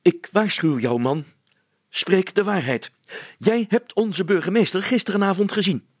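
Bossy, irascible schoolmaster for a children's story, male reader; high-strung pitch, rough husky edge. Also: lively intonation, measured pace, dry recording, exaggerated voice. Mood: furious. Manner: blustering, stern, demanding. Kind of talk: dramatized storybook reading.

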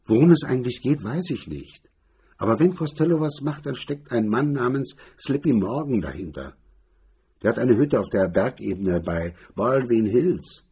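A cornered, weakened lawyer in a crime story, voiced by a man reading. He sounds sulky and resigned, with a dull delivery. The voice is dark and heavily husky. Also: mid-range voice, animated, moderate pace, pitched above the performer's own voice.

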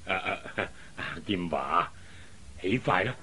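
An avuncular Cantonese father in a radio drama. His husky voice moves slowly and drawls, rising and falling widely as he holds forth in a genial, old-fashioned way. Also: sits low, expressive, sharp tone, heavily acted. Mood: fond grumbling.

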